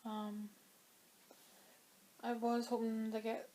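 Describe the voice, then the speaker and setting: Woman knitting vlogger with a slightly high, flat delivery. Dry throat from a cold. Chatty and meandering. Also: slow pace, a little hiss or hum, rough recording. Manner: chatty and rambling, with many fillers. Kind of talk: casual chat to camera.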